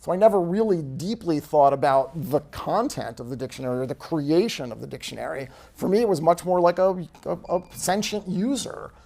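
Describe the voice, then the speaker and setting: A man, an author, chatting at a bookstore event, self-deprecating and relaxed, with plenty of fillers. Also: a little high, a little fast, creaky, few pauses. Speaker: author being interviewed. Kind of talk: casual conversation at a book event.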